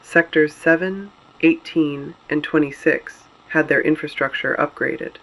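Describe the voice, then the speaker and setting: A man playing a grave resistance broadcaster, narrating a scripted dystopian radio broadcast in a measured, deliberate, solemn way. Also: on the high side, fairly even intonation, a bit bright, low-quality recording. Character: grave adult male resistance broadcaster reporting on fallen cities.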